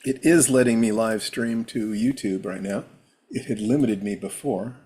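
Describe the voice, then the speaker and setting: A man hosting a live stream from home, talking casually in a rambling way, with a rough, hoarse voice and frequent throat clearing. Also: fairly low pitch, normal speed, dark tone.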